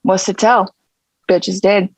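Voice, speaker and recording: A woman's voice: mid-range voice, flat delivery, a little slow, husky, no room sound. A woman playing a brash, streetwise male gangster rapper in a script table read. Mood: confrontational. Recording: dull, muffled lo-fi sound.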